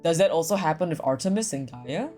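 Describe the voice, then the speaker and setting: A streamer talking casually and excitedly through a visual novel, with slangy, sweary commentary. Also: mid-range voice, quick pace, soft background music. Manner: animated, slangy, profane.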